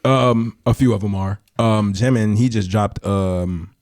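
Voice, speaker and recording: A young man with a very low voice talks as a podcast guest. His delivery is relaxed and slangy, full of fillers as he rambles about music. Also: a little slow. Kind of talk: casual podcast chat.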